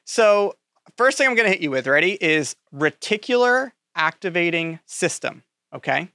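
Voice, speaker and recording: A man's voice: high-pitched, sing-song, moderate pace, sharp tone, no room sound, clean studio sound. A man explaining ideas in casual talk, with nerdy enthusiasm and frequent check-ins with his listener.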